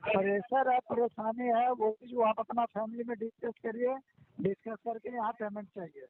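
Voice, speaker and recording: A man's voice: very high-pitched, steady tone, normal speed, dry recording. A man, a loan recovery official on a recorded phone call, scolding and pushing repetitively for payment. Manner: pushy, scolding, repetitive, interrupting. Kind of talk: phone argument demanding repayment. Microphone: telephone call recording.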